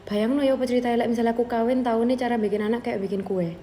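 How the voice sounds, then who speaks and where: A young woman telling an animated story in a short video clip. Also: a little high, very fast. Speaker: TikTok creator. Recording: echoey room, faint noise.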